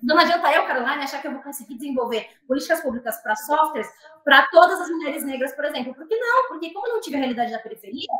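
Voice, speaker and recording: A woman's voice: high-pitched, expressive, very fast, a bit bright, roomy sound. A woman speaking as a guest in an earnest, reflective discussion, with frequent restarts and fillers. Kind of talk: conversational discussion.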